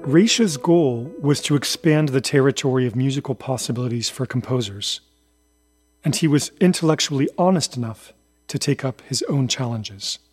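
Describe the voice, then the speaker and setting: A man's voice: mid-range voice, normal speed, a little mellow, husky, soft background music. A man delivering scripted documentary-style narration as a narrator.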